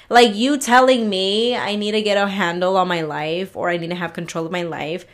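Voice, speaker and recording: A woman hosting a casual solo podcast, ranting in a frustrated, rambling way and swearing freely, a little congested from allergies. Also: on the low side. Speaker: podcast host.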